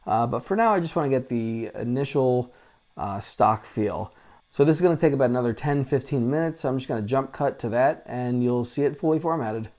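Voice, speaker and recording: A man's voice: mid-range voice, fairly quick. A man in his forties hosting a vintage computer channel, talking casually through a hands-on restore at a desk in a small room, wearing a lapel mic, with lots of "uh" fillers. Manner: relaxed, chatty, frequent "uh" fillers. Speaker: vintage tech channel host. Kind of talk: casual hands-on tech walkthrough.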